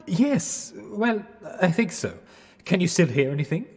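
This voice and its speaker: An actor playing a squeamish, dithering young English gentleman student in a 1920s period radio drama, polite and hesitant, with an English accent. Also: high voice, animated, a little slow, creaky, breathy edge, heavily acted. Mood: apologetic, anxious. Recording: long reverberant space, music underneath. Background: music.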